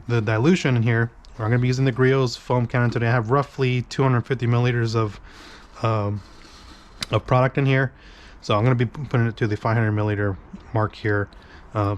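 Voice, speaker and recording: A man's voice: mid-range voice, a little hiss or hum. A man hosting a car detailing review in his garage, congested and nasal from a cold, rambling with many ums and restarts as he mixes product on his workbench. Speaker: car detailing channel host. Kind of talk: casual product review demo.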